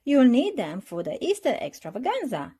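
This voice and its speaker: A woman's voice: fairly high pitch, huge pitch swings, normal speed, warm tone, breathy edge, voice pushed up, heavily acted. A woman voicing a kindly gazelle playgroup teacher in a storybook, encouraging and reassuring.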